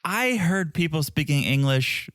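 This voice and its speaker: A man's voice: fairly high pitch, lively intonation, measured pace, fairly bright tone, no room sound, studio quality. A man with a North American accent co-hosting a podcast, chatting casually with a playful, teasing manner. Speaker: podcast co-host. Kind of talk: casual banter and TV recap chat.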